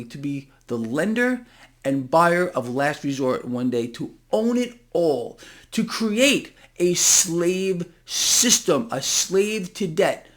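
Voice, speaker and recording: A man with a distinctly high voice delivers impassioned, unscripted market commentary. He addresses his audience directly as a channel host, leaning hard on key words with an incredulous, conspiratorial edge. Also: a bit bright.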